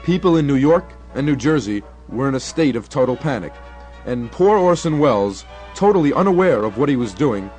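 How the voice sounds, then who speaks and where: A man's voice: mid-range voice, lively intonation, brisk, darkish tone, soft background music. A young man reading scripted narration for a radio documentary. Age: young adult.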